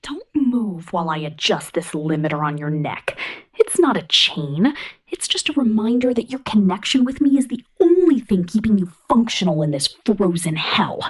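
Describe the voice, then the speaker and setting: Woman acting a female killer robot, husky half-whisper, swooping intonation, possessive, megalomaniac, seductively menacing, ASMR roleplay. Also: medium pitch, very animated, moderate pace, rough recording. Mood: seductive, controlling. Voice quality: breathy, whispery.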